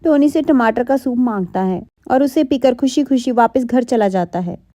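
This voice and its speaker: High-pitched woman narrating a children's story. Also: lively intonation, normal speed, warm tone, dry recording, studio quality.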